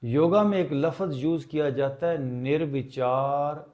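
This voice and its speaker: A man leading a guided meditation as a teacher, speaking calmly and deliberately with long pauses. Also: a little high.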